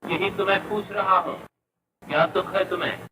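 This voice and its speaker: A man's voice: high-pitched, steady tone, normal speed, close to an everyday voice. An actor playing an intense, tormented, devoted man in old Hindi film melodrama, speaking with theatrical emphasis and repetition. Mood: anguished, probing. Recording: echoey, distant, noisy old film soundtrack.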